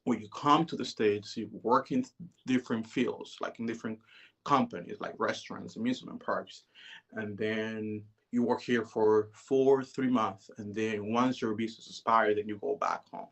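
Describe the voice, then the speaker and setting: A man, telling his life story as an interview guest in a relaxed, hesitant way. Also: fairly low pitch, normal speed, creaky. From Dominican Republic. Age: 30s. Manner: relaxed, hesitant, many "you know"s.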